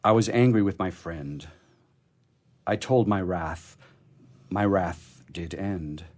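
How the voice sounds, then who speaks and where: A man hosting a poetry program, speaking in a halting, expressive way as he talks casually and reads verse. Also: sits low. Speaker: radio show host reading poetry. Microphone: studio microphone he drifts away from.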